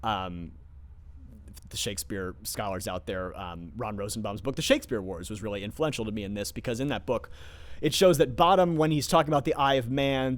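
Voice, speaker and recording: A man speaking as a theatre director in an informal, scholarly talk, enthusiastic but often searching for words as he explains. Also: mid-range voice. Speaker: theatre director discussing his production.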